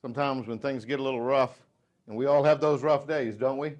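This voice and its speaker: A man's voice: medium pitch, fairly even intonation, a little fast, highs cut off. Folksy Southern man giving a sales talk. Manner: folksy, joking, swings from loud to hushed. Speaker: motivational sales speaker. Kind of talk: live speech to a sales audience. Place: dealership meeting room.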